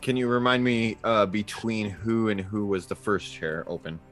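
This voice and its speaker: A man's voice: fairly low pitch, steady tone, moderate pace, a bit bright, soft background music. A man speaking casually as a player in a tabletop role-playing game.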